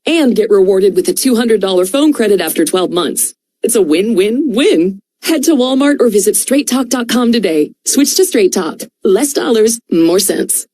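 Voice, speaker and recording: A woman's voice: medium pitch, dark tone, no room sound. An American woman reading a scripted radio advert for a phone plan. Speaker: advert announcer.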